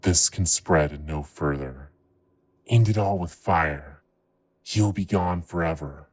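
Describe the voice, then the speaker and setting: A male actor voices a manic, guilt-ridden man for an audio drama. His voice is extremely low and husky. He delivers short, ominous phrases slowly and haltingly. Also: heavily acted. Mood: menacing, despairing. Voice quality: deep, husky. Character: manic, guilt-ridden man's written ramblings voiced aloud. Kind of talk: dramatized fan fiction podcast.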